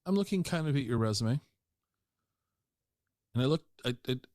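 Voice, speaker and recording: A man's voice: medium pitch, animated, normal speed, a little mellow, no room sound, clean studio sound. A man hosting a podcast interview, chatting casually and enthusiastically. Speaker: podcast host.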